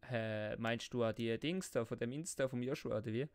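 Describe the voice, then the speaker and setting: Playful Swiss German man, clear-voiced. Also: medium pitch, fairly quick, no echo. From Switzerland. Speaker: live game streamer. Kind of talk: casual banter while gaming online.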